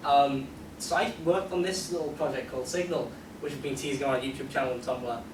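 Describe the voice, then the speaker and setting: A man presenting casually at a convention panel, rambling in an excitable, self-deprecating way. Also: mid-range voice, a little fast. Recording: echoey, noisy hall, distant microphone.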